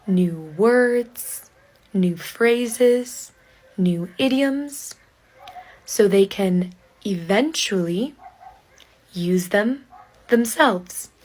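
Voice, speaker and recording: A woman hosting a podcast for English learners, speaking in deliberate short phrases with pauses between them. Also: medium pitch. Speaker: English teacher and podcast host.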